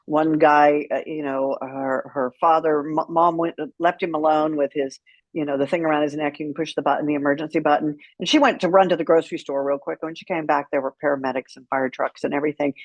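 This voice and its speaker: A woman's voice: low-pitched, steady tone, a little fast, no echo, highs cut off. A middle-aged woman speaking as an interview guest, telling stories in a frank, wry, conversational way. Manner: frank, wry, acts out remembered dialogue. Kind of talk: candid conversational storytelling.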